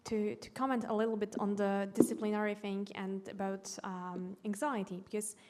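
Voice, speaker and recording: Measured Polish woman explaining. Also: medium pitch, level tone, darkish tone. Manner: measured, explanatory, with small restarts. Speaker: panelist, educator. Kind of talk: panel discussion comment.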